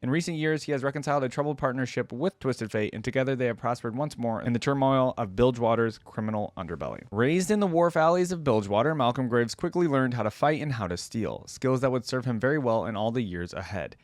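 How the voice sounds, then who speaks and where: A man's voice: mid-range voice, lively intonation, quick pace, hardly any pauses, no echo. Man reading game lore in a dry, close recording. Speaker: reaction video host. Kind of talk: reading game lore aloud with casual reactions.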